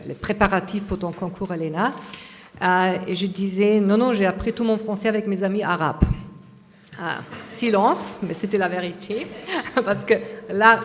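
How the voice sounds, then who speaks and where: Woman lecturing in an echoing hall. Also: medium pitch. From Austria. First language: German. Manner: hesitant, many fillers and restarts. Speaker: conference speaker.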